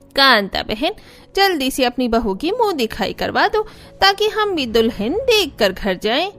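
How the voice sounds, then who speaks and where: A woman puts on a meddling neighbourhood auntie for a cartoon. The voice is extremely high, and she chatters and bosses like a busybody. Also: big pitch swings, measured pace, fairly bright tone, heavily acted. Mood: chatty.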